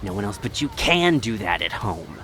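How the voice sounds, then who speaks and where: A man's voice: on the low side, sing-song, moderate pace, sharp tone, soft background music. A man playing an exasperated, sarcastic team medic with a dry, incredulous manner in an audio roleplay.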